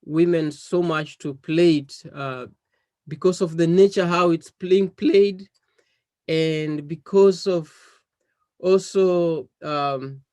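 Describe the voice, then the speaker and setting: Kenyan man with a slightly high voice. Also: no echo. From western Kenya. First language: Dholuo. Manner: hesitant, with frequent ums and repeated words. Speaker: musician interviewee. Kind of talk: thoughtful interview answers.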